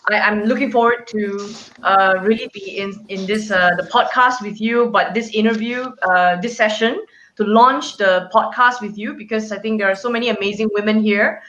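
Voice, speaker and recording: A woman's voice: medium pitch, steady tone, moderate pace, fairly bright tone, slight background noise. A woman introducing herself on a video call, hesitant with many fillers. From Malaysia. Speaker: founder and CEO guest. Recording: echoey, dull video call audio.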